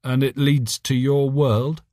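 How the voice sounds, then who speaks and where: A man's voice: mid-range voice, little pitch movement, slow, dark tone, dry recording, studio quality, voice pushed up, close to an everyday voice. A man voicing a drunk, cynical mathematician in an audiobook, bored, offhand and sceptical. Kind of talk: audiobook character voice.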